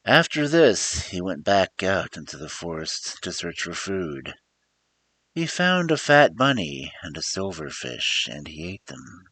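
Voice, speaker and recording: A man's voice: sits low, very animated, unhurried, a bit bright, breathy edge. A man reading a story aloud as a storyteller, in a theatrical, emphatic storybook manner. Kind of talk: dramatic scripted story narration.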